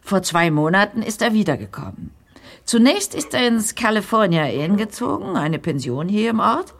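A woman's voice: medium pitch, big pitch swings, normal speed. An actress playing an older, gossipy small-town woman in an audio drama, talkative and emphatic. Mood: sympathetic, gossipy.

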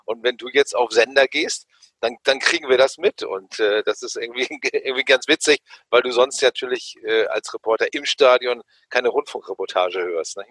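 A man co-hosting a podcast, chatting in a relaxed, friendly way. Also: mid-range voice, moderate pace, breathy edge, dry recording, low-quality recording. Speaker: podcast co-host. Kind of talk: casual chat.

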